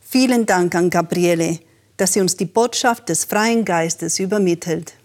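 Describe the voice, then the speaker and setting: A woman presenting a program, reading listener letters aloud in a measured way. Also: on the low side, a little mellow.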